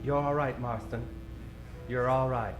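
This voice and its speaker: An actor playing an effeminate, flirtatious, lovelorn club owner in a radio detective parody, soft-spoken and hesitant. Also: male voice, mid-range voice, steady tone, unhurried, over loud music, natural voice. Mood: coy, tender. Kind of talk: parody of old-time radio detective drama. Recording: roomy, distant live stage recording.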